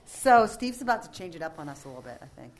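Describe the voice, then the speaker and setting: A woman's voice: on the low side, big pitch swings, fast, slight background noise, rough recording. A woman hosting a storytelling event, teasingly introducing the next speaker. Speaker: event host.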